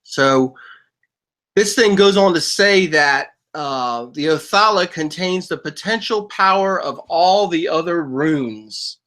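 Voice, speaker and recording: A man's voice: fairly high pitch, takes it slowly, a bit bright. A man hosting a talk show, explaining at length with frequent stammered restarts. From United States. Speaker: podcast host.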